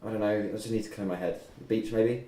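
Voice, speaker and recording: Man playing young male student, self-effacing, mellow, casual and sweary, distant roomy recording. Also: on the low side, fairly even intonation, fast talker, natural voice. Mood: downcast, apologetic. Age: young adult. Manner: casual, hesitant, sweary delivery. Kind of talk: table read of a film script. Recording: echoey room, distant mic.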